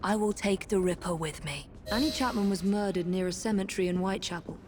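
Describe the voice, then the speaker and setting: Mid-pitched English female voice, grim vengeful assassin acted by a woman, resolute, game drama, noisy ambience behind. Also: quick pace, lightly acted. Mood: grave. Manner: grave, resolute. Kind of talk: video game cutscene acting.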